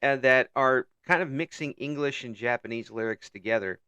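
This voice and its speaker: A man's voice: mid-range voice, moderate pace, sharp tone, clear, resonant tone, dry recording. A man hosting an interview, chatting casually, laughing often and tripping over his words. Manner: chuckles often, stumbles and repeats words. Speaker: interview host.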